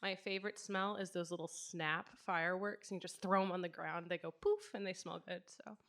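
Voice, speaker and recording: A woman's voice: fairly low pitch, steady tone, a little fast, a bit bright. A young woman student from Kansas introducing herself in a casual, chatty way. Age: young adult.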